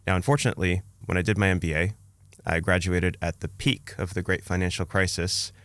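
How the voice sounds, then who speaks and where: Low, smooth-voiced man. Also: steady tone, a little fast, a bit bright, no echo. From Canada, born in Romania. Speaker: podcast guest, investor. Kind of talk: conversational personal storytelling.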